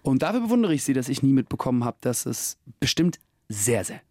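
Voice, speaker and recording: A man's voice: mid-range voice, sing-song, brisk, dark tone, no echo, studio quality. A man in his late twenties from Russia, a guest talking casually and reflectively, laughing often and using many fillers. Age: late 20s. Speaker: guest, actor and musician. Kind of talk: casual interview talk.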